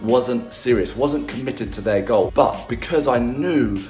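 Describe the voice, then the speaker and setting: A man with a hoarse, strained voice giving an upbeat, emphatic motivational tip straight to the viewer. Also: mid-range voice, a little slow, a little mellow, echoey room, faint music behind. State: hoarse, losing his voice. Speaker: business and confidence coach. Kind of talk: motivational daily-tip video.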